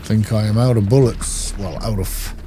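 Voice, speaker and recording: A man's voice: fairly low pitch, steady tone, moderate pace. A man giving casual video game commentary, thinking aloud in short remarks. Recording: clearly noisy, game sound behind voice. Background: video game ambience.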